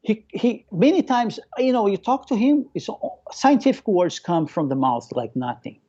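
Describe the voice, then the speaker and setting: Male interview guest, Brazilian accent with island touch, Portuguese native, excitable storyteller, soft dark tone, dull lo-fi audio. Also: high-pitched, moderate pace. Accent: Brazilian with a local Hawaiian touch. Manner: enthusiastic, repeats phrases, acts out remembered remarks. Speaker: guest, hobbyist aquarium keeper. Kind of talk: casual live interview storytelling.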